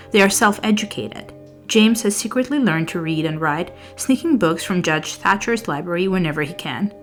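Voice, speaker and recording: A woman with a fairly low voice, a native Albanian speaker, reviewing her month's books in a relaxed way. She moves between loose chat with the odd "um" and smoother read-out plot summaries, with soft music playing underneath. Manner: conversational, with fillers and restarts, partly read-out summaries. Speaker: book vlogger. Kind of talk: casual book review over journaling.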